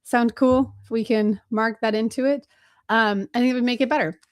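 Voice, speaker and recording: A woman from Chicago hosting a live marketing webinar, energetic and direct, talking fast with lots of fillers and asides to her team. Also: a little high. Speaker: webinar host and agency trainer.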